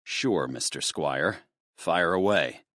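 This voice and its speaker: A man's voice: low-pitched, lively intonation, measured pace, fairly bright tone, no echo, clean studio sound. A man voicing a furious ex-Marine father holding back his rage, clipped and tense. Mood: restrained.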